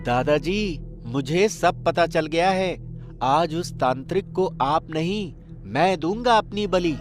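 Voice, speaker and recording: Man playing an earnest young grandson, highish voice. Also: lively intonation, slow pace. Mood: brave, determined. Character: devoted, brave young grandson visiting from the city. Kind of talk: Hindi cartoon story voice acting. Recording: faint music behind.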